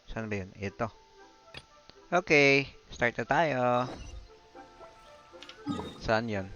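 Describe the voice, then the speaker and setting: A man's voice: lowish voice, a bit bright, muffled recording. A man with a Filipino accent giving casual live game commentary, thinking aloud as he plays. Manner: mixes two languages, thinks aloud. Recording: stream voice with game music. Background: game music.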